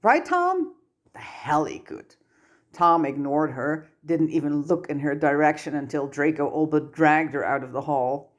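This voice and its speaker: A woman's voice: sits low, steady tone, moderate pace. A woman reading a story aloud as scripted audiobook-style narration. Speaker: amateur fan fiction narrator.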